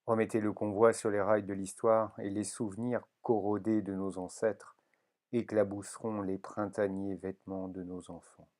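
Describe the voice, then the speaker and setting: A man giving a solemn poetry reading, with measured and deliberate phrasing. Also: lowish voice, little pitch movement, a little mellow. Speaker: poetry reader.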